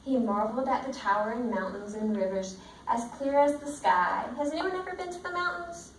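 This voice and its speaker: Female teacher, storybook read-aloud, higher-pitched voice, roomy sound with faint noise. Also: expressive, a little fast. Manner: checks understanding with simple questions. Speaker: teacher reading a picture book to children. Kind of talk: read-aloud with questions to young pupils. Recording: roomy, distant mic, faint background noise.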